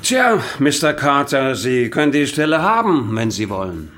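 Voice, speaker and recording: An actor playing a well-meaning employer in a German radio drama, speaking in a plain, reassuring way. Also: male voice, mid-range voice, sing-song, moderate pace, fairly bright tone. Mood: frank. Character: frank, well-meaning male employer offering a job.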